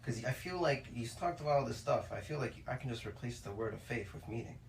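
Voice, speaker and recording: A man's voice: mid-range voice, expressive, fast talker, gravelly. A man in a casual discussion who thinks aloud and questions the others' wording. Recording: echoey room, faint noise.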